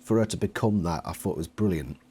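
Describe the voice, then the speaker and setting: A man's voice: sits low, a little fast, mellow, breathy edge. A man chatting casually about books as an interviewer.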